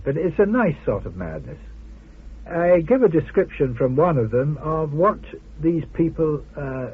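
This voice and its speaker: Halting, husky man on muffled radio. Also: on the high side. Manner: halting, hesitant delivery with wry amusement. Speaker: interview guest, journalist and author. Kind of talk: informal interview conversation. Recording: muffled vintage radio interview.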